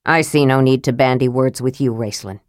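A grave, honorable young male knight who speaks low and measured, reproachful but restrained, voiced by a woman reading aloud. The sound is deep for her and dry, with no room around it. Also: no room sound. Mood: stern. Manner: quiet, measured and stern. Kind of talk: audiobook character voice.

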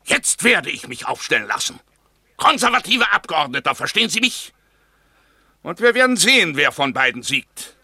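A haughty, vengeful conservative gentleman in a radio drama. His voice is fairly high, extremely sharp and husky, with lively threatening swings. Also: normal speed, no room sound, clean studio sound, full character voice. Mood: outraged, threatening. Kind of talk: German radio play acting.

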